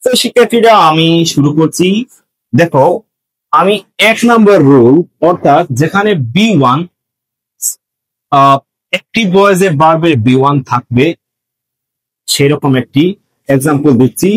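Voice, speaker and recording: A man's voice: a little high, expressive, normal speed, pauses often, poor audio. A man teaching students in an explanatory, step-by-step manner, repeating key points as he goes. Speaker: English grammar teacher. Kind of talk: explanatory lesson addressed to students.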